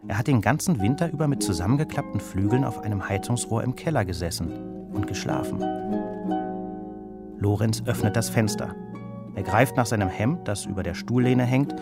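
A man narrating a children's story in a scripted storybook style. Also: on the low side.

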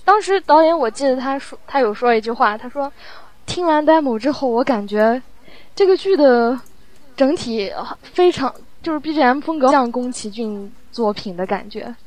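A woman interviewed in a casual chat, talking in a halting, reflective way as she explains her work. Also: sits high, animated, a little mellow, rough recording. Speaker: audio drama sound producer, interview guest.

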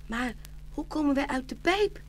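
A woman's voice: high-pitched, lively intonation, normal speed, slight background noise. An actress playing a young porcelain shepherdess in an audio fairy tale, sweet and devoted, girlish and pleading. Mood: tender, pleading.

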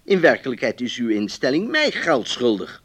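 A man's voice: mid-range voice, huge pitch swings, normal speed, fairly bright tone. An actor playing a polite, increasingly exasperated man reading out his formal complaint letters in a radio drama. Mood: irritated. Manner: formal, emphatic.

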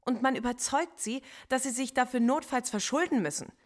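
A woman's voice: sits high, fairly quick, lightly acted. An actress playing a defiant female philosophy professor in a radio play, articulate and lecturing. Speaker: philosophy professor under interrogation.

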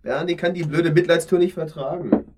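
A man's voice: fairly high pitch, steady tone, a little fast, background noise, lightly acted. An actor playing an irritable, self-assured man on holiday in a naturalistic radio drama, sardonic and insistent. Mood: irritated, sardonic.

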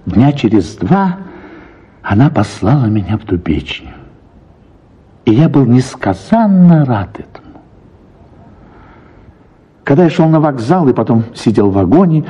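A man reading a first-person literary story for radio in an expressive, reflective manner, with drawn-out words and pauses. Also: medium pitch, husky. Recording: slightly dull radio sound, music behind. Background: soft music.

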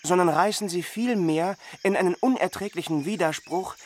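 An actor playing a grave, impassioned chronicler, speaking in a solemn, emphatic stage declamation. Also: male voice, high voice, moderate pace, slight background noise, close to an everyday voice.

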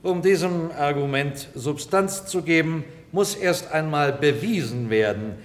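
An actor playing a persistent, courtly defence lawyer in a German radio drama, speaking formally and emphatically. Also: male voice, a little high, lively intonation, a little slow. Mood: insistent, eloquent. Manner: formal, rhetorical, emphatic delivery. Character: male defence lawyer, eloquent, persistent, courtly, pleading for robots. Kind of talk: 1960s-1990s German radio drama acting. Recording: reverberant hall, old radio play.

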